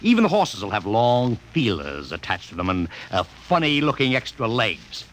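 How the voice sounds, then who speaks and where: A brash American publicity schemer from mid-century radio drama. His patter is slangy and breezy, with a very lively melody. The old broadcast recording carries some faint noise. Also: mid-range voice, normal speed. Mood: smug, expansive.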